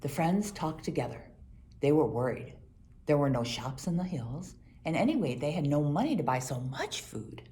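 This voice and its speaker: A woman with a low, mellow voice reads a scripted children's Bible story. Her delivery is expressive, with lively swings in pitch that suit storytelling. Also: normal speed. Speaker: storybook reader.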